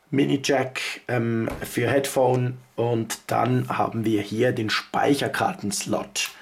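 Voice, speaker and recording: A man, hoarse from a cold, casually reviewing a handheld gadget and sounding a little sarcastic about its maker. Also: mid-range voice, slight background noise. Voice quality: gravelly. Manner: chatty, offhand, mildly sarcastic about the maker. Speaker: tech video host. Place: indoors at a table, off camera.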